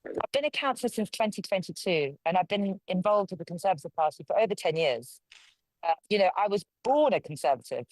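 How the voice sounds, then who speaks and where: A woman's voice: fairly low pitch, lively intonation, brisk, darkish tone, no echo, rough recording. A woman from London, a local councillor, giving forthright, conversational answers as an interview guest. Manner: forthright, conversational, speaks her mind.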